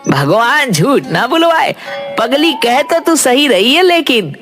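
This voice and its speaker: An actor voicing a poor, simple village man in an animated folk tale, folksy and pleading. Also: high-pitched, measured pace, soft background music, heavily acted. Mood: humble. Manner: pleading, folksy, repeats a pet oath. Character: poor, timid, simple male village woodcutter.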